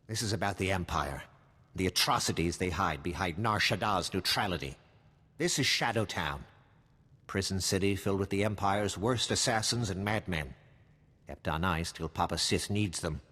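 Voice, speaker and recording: Low, husky military intelligence officer. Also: male voice, lively intonation, moderate pace, bright, forceful tone, long reverb tail, full character voice. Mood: wry briefing. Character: weary, wry male military intelligence officer. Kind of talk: video game dialogue.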